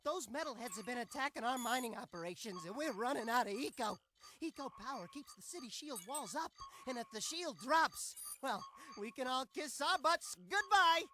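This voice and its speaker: A man's voice: extremely high pitch, expressive, moderate pace, pushed and bright, soft background music, heavily acted. A man voicing a paranoid, fretful video game character, stammering nervously as he talks. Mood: nervous briefing. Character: jumpy, paranoid, fretful man at a mining operation. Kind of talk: animated video game acting.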